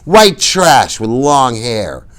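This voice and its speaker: A drunk, mocking rich boy who slurs and sneers, as a man puts him on, much higher and louder than the reader's own deep voice. He drags his insults out slowly. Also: big pitch swings, exaggerated voice. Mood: taunting. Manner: mocking, slurred, taunting.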